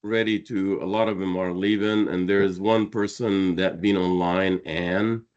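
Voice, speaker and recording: A low-voiced man moderates a webinar and keeps the call moving. The audio comes through dull and lo-fi, as over a video call. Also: flat delivery, unhurried, a little mellow.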